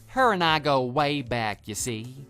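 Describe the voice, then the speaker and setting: A man's voice: mid-range voice, lively intonation, unhurried, pushed and bright, faint music behind. A man playing a smug, brazen lover who talks down to a betrayed husband in an audio drama. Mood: smug, sly. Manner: smug, condescending, dismissive. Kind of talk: scripted texting-story radio drama.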